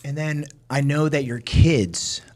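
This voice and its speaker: A man's voice: a little high, slow pace, a little mellow. Upbeat man hosting a podcast. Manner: enthusiastic, frequent affirmations. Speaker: podcast host. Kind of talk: casual interview chat.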